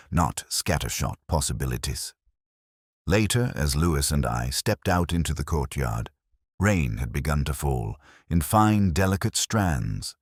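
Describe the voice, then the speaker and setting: An extremely low-voiced man narrates a first-person mystery with measured, reflective phrasing. His pitch rises and falls expressively through each literary sentence. The sound is dry, with hardly any room around it. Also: husky, dry recording. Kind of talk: scripted first-person detective story narration.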